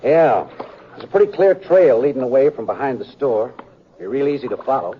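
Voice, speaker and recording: A plain-spoken western sheriff played by a man with a mid-pitched American voice. He sounds folksy, weary and burdened by conscience. It comes from a dull 1950s radio broadcast with slight noise. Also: lively intonation, brisk, lightly acted. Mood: businesslike. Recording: muffled 1950s radio recording, faint noise.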